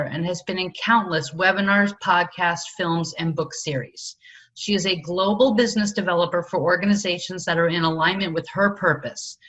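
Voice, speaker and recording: A woman hosting, reading a formal prepared introduction of a guest in a measured way. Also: on the low side, a bit bright.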